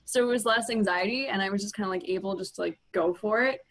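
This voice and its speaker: Woman actor just chatting on a video call, medium pitch, says like a lot. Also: fast, a bit bright. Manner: informal, frequent "like". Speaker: actress on a post-show panel. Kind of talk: casual chat. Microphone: video call microphone.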